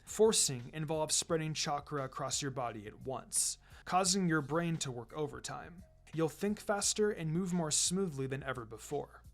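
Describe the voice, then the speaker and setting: A man voicing a calm, firm, encouraging adult ninja teacher with a measured, instructive delivery in a story reading. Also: on the high side. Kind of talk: fan fiction reading with character voices. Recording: music behind the voice.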